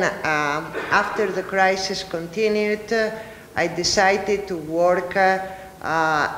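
A middle-aged woman with a Greek accent, a native Greek speaker, giving an academic panel presentation. She speaks haltingly, with frequent filler pauses. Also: lowish voice, slight background noise. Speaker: university lecturer presenting research. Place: large ballroom, panel table. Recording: echoey hall with long reverb.

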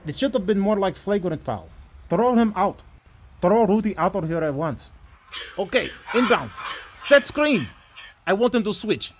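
A man's voice: high voice, expressive, a little slow, pauses often, slight background noise. A man voicing a cocky, whiny Slovenian male basketball star in a comedy dub, exclaiming, taunting and complaining to referees. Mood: whiny, indignant.

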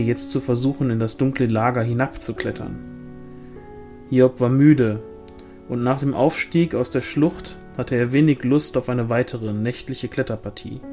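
A man narrates a novel from a script in a mid-pitched voice. Soft music plays faintly behind the reading. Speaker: audiobook reader.

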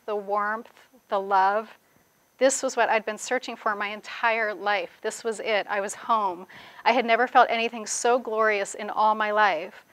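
Bright-voiced woman author reading aloud. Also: mid-range voice, clean tone, no echo. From grew up in the Bahamas. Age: 40s. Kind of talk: reading aloud from own memoir, with spoken commentary. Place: indoors, seated before a plain wall at a reading event.

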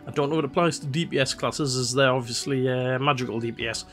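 A man's voice: medium pitch, fast talker, faint music behind. A man hosting a let's play, chatting casually about his gameplay in a rambling way with many fillers. His nose is slightly congested from a cold. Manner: relaxed, rambling, with frequent "uh" fillers.

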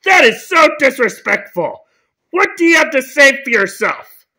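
A stern, indignant cartoon principal acted out by a man scolds in emphatic, repetitive phrases. The voice is very high and bright, swinging up and down as it lectures. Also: sing-song, a little slow, full character voice. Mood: outraged. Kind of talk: amateur role-played children's cartoon story.

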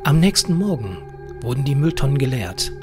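A man reading a short horror story as narrator, measured and dramatic. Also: medium pitch, very animated. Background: eerie horror music.

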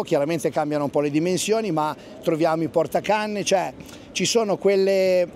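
A man's voice: a little high, darkish tone, a little hiss or hum. Enthusiastic man at a marina. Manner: enthusiastic, repeats "assolutamente". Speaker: fishing guide. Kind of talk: interview at a boat show. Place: outdoor marina at a boat show.

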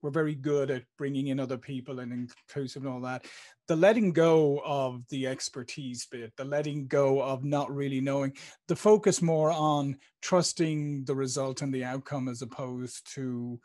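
A man in his fifties with an Irish accent hosts a video interview, agreeing warmly and thinking aloud with restarts into a podcast mic. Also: mid-range voice, normal speed. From Ireland. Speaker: interview host. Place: home studio with branded backdrop. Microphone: podcast microphone on stand.

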